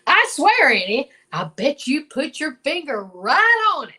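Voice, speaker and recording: Woman playing a folksy old marshal, bright and animated. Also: sits high, measured pace, slight background noise, exaggerated voice. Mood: folksy, pleased. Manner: folksy, drawling exclamations, hemming. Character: folksy older male small-town marshal, dutiful, flustered. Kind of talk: old-time radio drama acting.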